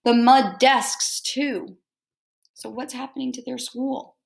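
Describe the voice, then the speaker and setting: A woman with a fairly high voice reads a children's storybook aloud at a very unhurried pace. She is expressive and deliberate, like a teacher, and breaks off to ask her young listeners simple questions. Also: creaky. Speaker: teacher reading a picture book to children.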